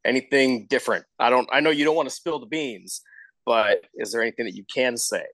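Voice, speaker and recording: A man's voice: medium pitch, brisk, a bit bright, no room sound, rough recording. Man, mid-range voice, show host, informal, encouraging, conversational, dry close sound. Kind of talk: casual interview.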